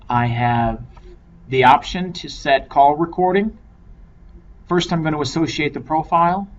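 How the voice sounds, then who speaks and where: A man giving a measured, step-by-step software tutorial as an instructor. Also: a little high. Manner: measured, explanatory step-by-step delivery. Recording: dull lo-fi microphone with clear noise.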